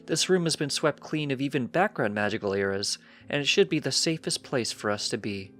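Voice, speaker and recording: A man's voice: medium pitch, animated, fairly quick, a bit bright, soft background music, voice pushed up, close to an everyday voice. A man voicing a careful, fretful female pony, a precise and chiding wife in a storybook reading. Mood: reassuring.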